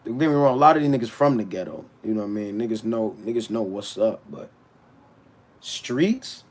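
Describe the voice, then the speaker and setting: A man's voice: on the low side, normal speed. Blunt, stammering man ranting on a stream. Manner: blunt and animated, with stammered restarts; talks back to his chat. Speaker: battle rapper streaming to his chat. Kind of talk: casual livestream rant.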